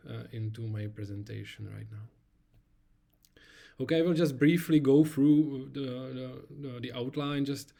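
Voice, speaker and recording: Hesitant Czech man giving a flat-toned talk. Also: mid-range voice. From Czech Republic. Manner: hesitant, many fillers and repeated words. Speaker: research scientist presenting. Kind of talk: conference talk over slides.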